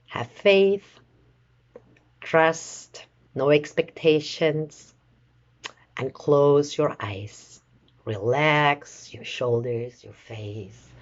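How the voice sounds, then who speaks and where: A woman leading a guided meditation in a soothing, measured way, with long pauses between short phrases. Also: sits low, highs cut off. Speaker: meditation guide, life coach.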